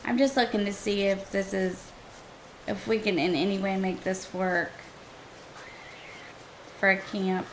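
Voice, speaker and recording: A woman streaming a survival game, chatting casually and thinking aloud to her viewers, her voice a little hoarse. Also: mid-range voice, fairly even intonation, a little slow, long pauses, a little hiss or hum, rough recording. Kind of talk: casual gameplay commentary.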